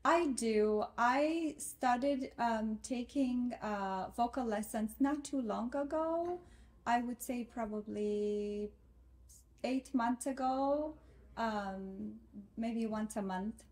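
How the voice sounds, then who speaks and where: A woman's voice: on the high side, slow pace, a little mellow. A woman in her thirties from Romania, a classical soprano and non-native speaker, answering interview questions with many fillers and restarts. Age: 30s. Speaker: guest singer. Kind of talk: interview answers and recorded intro.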